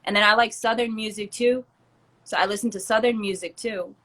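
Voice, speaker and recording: A young woman chats easily in an interview, her voice sitting at a middle pitch. She leans on fillers and laughs often as she talks, relaxed and open. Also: a little fast. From born in Germany to Turkish parents, raised in the US. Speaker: rapper and entrepreneur, guest. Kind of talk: casual interview chat.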